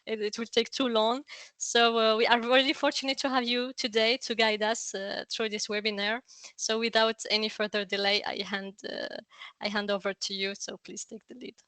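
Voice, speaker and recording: A woman's voice: on the high side, level tone, normal speed, sharp tone, no echo. A woman hosting a webinar and introducing a speaker. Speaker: webinar host.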